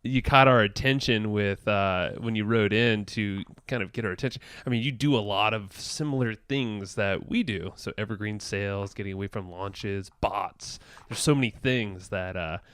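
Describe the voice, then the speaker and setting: A man's voice: on the low side, normal speed, few pauses, fairly bright tone, clear, resonant tone, no echo, clean studio sound. A man co-hosting a podcast interview, friendly and rambling, often laughing. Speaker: podcast co-host. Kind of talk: casual interview chat.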